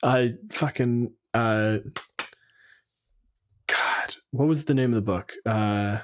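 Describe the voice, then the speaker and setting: A man's voice: medium pitch, slow pace, slight background noise. A man co-hosting a podcast, chatting casually and unscripted about tabletop games, with wry asides and loose swearing. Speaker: podcast co-host.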